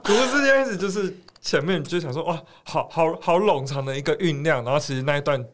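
A man's voice: a little high, lively intonation, fast, a bit bright. A man with a Taiwanese accent co-hosting a podcast in casual interview chat. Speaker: podcast co-host.

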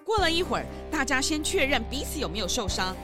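A woman's voice: high voice, sing-song, quick pace, music in the background. A woman narrating a scripted children's detective story.

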